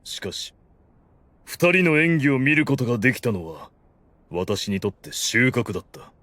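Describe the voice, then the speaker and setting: A man's voice: mid-range voice, big pitch swings, moderate pace, bright, forceful tone, dry recording. A man playing a dignified, magnanimous undead overlord in an anime audio drama, solemn and commanding. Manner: regal, solemn.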